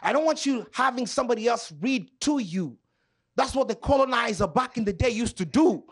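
Stand-up comic from Kenya: high, breathy-edged voice, lively swings, loud crowd work. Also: male voice, a little fast. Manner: loud, animated, playful with the crowd. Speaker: stand-up comedian. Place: comedy club stage.

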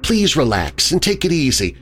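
A man's voice: fairly high pitch, big pitch swings, moderate pace, a bit bright, soft background music, pitched above the performer's own voice. A man voicing a coldly deranged wife who soothes and sneers with hissing menace in a horror story. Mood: falsely soothing.

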